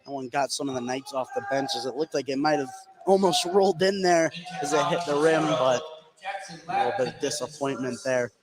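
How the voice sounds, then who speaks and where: A man's voice: medium pitch, fairly quick, a loud crowd. An American man giving conversational analysis as a color commentator at a basketball game. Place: courtside in an indoor gym. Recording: clearly noisy gym sound. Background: gym noise.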